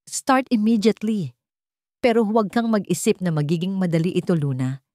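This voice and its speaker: A woman's voice: mid-range voice, moderate pace, warm tone, dry recording, studio quality, lightly acted. A woman voicing a cold, rich young male boss in a radio-style story, mocking and threatening. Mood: menacing.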